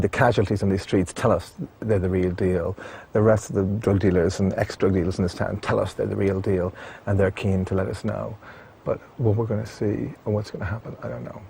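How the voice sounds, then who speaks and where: A man reporting on location in city streets and asking questions, tense and hushed. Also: lowish voice, gravelly, slightly rough. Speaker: documentary presenter on location. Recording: faint background noise on location.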